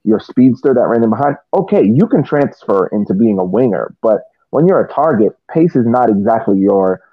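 A man's voice: medium pitch, lively intonation, slightly rough, no room sound, phone-quality sound. A man giving casual soccer analysis as a podcast panelist, often repeating words as he works through his point. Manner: halting, repeats words while reasoning.

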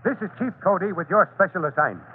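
Gruff fatherly fire chief, no-nonsense, old radio serial, thin noisy sound. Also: sits high, animated, fast talker. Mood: commanding. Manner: plain-spoken, dismissive. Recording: tinny, noisy vintage radio recording.

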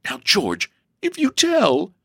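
A man's voice: fairly high pitch, sing-song, moderate pace, a bit bright, dry recording, clean studio sound, voice pushed up. A man voicing an agitated, dramatic and nervous young woman in a storybook reading. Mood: tearful pleading.

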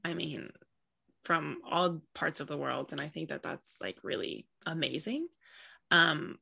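A woman athlete answers interview questions in a relaxed, easygoing way, leaning on "like" and "you know". Her voice is fairly low for a woman, with a lot of vocal fry and a bright edge. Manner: relaxed, filler-heavy speech with many "like" and "you know". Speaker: professional soccer player, interview guest.

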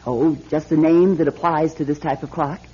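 An actress playing an earnest, insistent older woman who appraises antiques in a classic radio drama, with a raspy voice. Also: deep voice, fairly even intonation, normal speed, dark tone. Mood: knowing, matter-of-fact. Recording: dull, lo-fi old radio recording.

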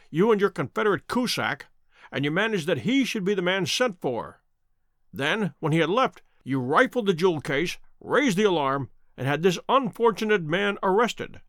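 Man as a sardonic, commanding Victorian detective, lively intonation. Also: high voice, no echo, clean studio sound. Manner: measured, stern, dryly mocking. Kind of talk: dramatic audiobook character reading.

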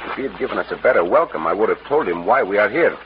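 A man's voice: on the low side, lively intonation, racing, natural voice. A man playing a gallant, confident cowboy adventurer with a Mexican accent and courteous, formal speech in an old radio western. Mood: earnest, determined. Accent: Mexican Spanish. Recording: muffled, noisy vintage radio recording.

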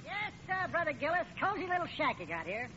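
An actor playing a self-important, suspicious working-class lodge official in an old-time radio comedy, pompous and ungrammatical. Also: male voice, very high-pitched, sing-song, fairly quick. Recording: muffled, noisy old radio recording with audience.

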